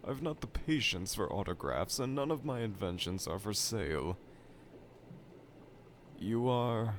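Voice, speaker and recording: A man playing a dejected, pompous evil scientist villain from a cartoonish game, delivering a theatrical, self-pitying roleplay monologue to the listener. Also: lowish voice. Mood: weary, dejected. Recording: clear background laboratory ambience noise.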